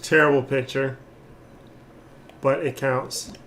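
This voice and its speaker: Rambling man streaming over clear background noise. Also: medium pitch, steady tone, slow, a bit bright. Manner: rambling, thinking aloud. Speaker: game streamer. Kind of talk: casual gameplay commentary, chatting.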